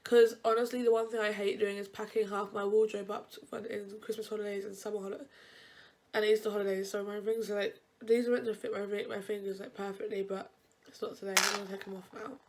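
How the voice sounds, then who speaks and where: A woman's voice: mid-range voice, little pitch movement, quick pace. Chatty young woman with a blocked nose. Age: young adult. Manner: rambling, chatty, frequent self-corrections. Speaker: university vlogger. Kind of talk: casual advice vlog.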